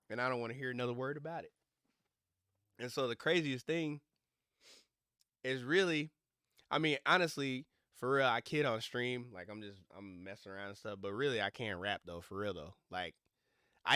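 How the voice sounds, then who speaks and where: A young man streaming a game, rambling and swearing as he tells stories in casual chat, his voice a little hoarse from losing it. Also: mid-range voice, normal speed, no echo, studio quality. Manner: rambling, profane, animated storytelling. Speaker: gaming streamer. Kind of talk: casual livestream chat while gaming.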